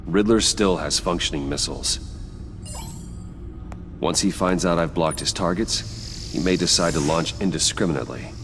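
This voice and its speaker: A man playing a grave, determined vigilante detective in a video game, speaking in measured, terse English. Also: low voice, a bit bright, soft background music. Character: grave, determined adult male vigilante detective, low and controlled. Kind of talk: dramatic video game acting. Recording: clearly noisy game audio.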